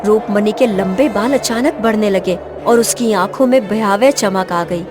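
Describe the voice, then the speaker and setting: A woman's voice: mid-range voice, unhurried. Woman narrating a dramatic fairy tale. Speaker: storyteller, narrator. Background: background music.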